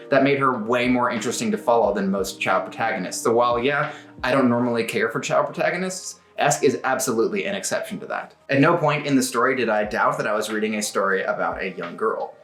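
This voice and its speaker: A man's voice: mid-range voice, a bit bright. Man reviewing books in an echoey room. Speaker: book review video host. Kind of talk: casual book commentary. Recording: roomy, echoing home recording.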